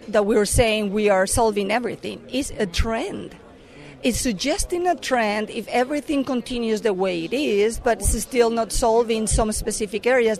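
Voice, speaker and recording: A woman's voice: on the high side, darkish tone. A middle-aged woman from Mexico with a Mexican accent, a corporate affairs director giving earnest answers in an interview, with many fillers and restarts.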